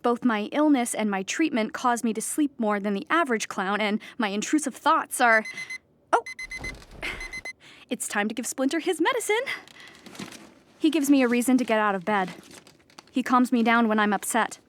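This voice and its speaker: An actress playing an anxious, earnest, depressed clown in a theatrical audio drama monologue, explaining with dramatic emphasis. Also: female voice, fairly high pitch, expressive, normal speed, long pauses, a little hiss or hum, natural voice. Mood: earnest, fond.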